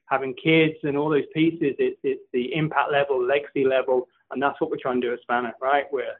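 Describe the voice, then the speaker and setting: A man's voice: medium pitch, little pitch movement, fairly quick. A man speaking as a guest in a casual interview, enthusiastic and repetitive, often restarting his sentences. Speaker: co-founder guest. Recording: tinny phone-like line.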